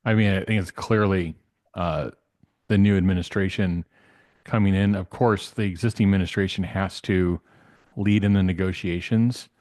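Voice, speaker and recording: A man's voice: deep voice, steady tone, normal speed, darkish tone, no echo, clean studio sound. A man giving casual, off-the-cuff commentary as an interview guest, often rephrasing as he goes.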